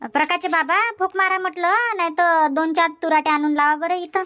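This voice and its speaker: A woman voicing a bickering, teasing old village grandmother in a cartoon comedy, with a Varhadi Marathi accent and quick nagging comebacks. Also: very high-pitched, steady tone, bright, forceful tone. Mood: bossy, bickering.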